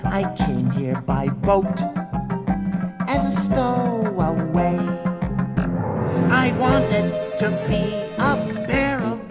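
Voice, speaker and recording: A small, well-mannered young bear sings and chats with bright, eager warmth. His delivery is unhurried and his pitch moves lively. The children's record puts loud band music right behind him. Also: exaggerated voice. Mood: cheerful. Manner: bright, eager, sing-song. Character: small polite young male bear, cheerful. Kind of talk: sung children's record with narration.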